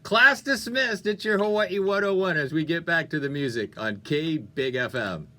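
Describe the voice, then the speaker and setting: A man's voice: a little high, animated, moderate pace, pushed and bright. A man hosting a music radio show with upbeat, playful, conversational DJ patter. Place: radio studio.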